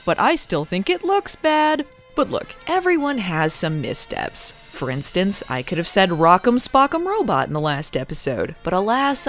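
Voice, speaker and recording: Sarcastic lady doing an irreverent review, voice swings up and down, faint music. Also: on the low side. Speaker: comedy reviewer and host. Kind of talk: casual scripted review narration.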